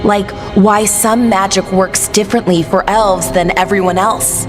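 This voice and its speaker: A candid young elf adventurer, acted by a woman. Her mid-pitched voice is casual and inquisitive, with game music playing behind. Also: steady tone, measured pace, close to an everyday voice. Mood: musing.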